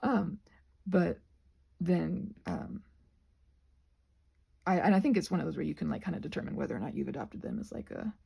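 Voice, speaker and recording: A woman with a soft-edged, mellow voice that has a husky grain and some creak. She talks in a loose, casual ramble, wandering off on tangents and circling back to rephrase herself. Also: fairly low pitch, lively intonation, moderate pace, pauses often. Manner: digressive, self-correcting, trails off and restarts thoughts. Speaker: host of a rambling craft vlog.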